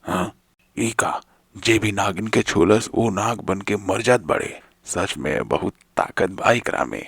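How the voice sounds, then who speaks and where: A man playing a wicked, arrogant sorcerer in an animated fairy tale, with gravelly, gloating, mocking speech. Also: on the low side, no room sound, clean studio sound, exaggerated voice. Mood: menacing. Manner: gloating, drawn-out taunts with villainous laughter.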